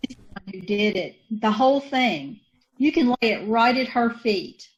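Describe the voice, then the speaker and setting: A bitter, worn-down woman with a folksy drawl of broken grammar spits out her grudges, as an actress plays her in a radio play. Her voice sits a little high for a woman. She stays defiant and resentful throughout. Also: expressive, measured pace, muffled recording. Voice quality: folksy ungrammatical speech, resentful. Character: bitter poor woman, protective sister. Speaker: radio actor. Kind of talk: old-time radio drama acting.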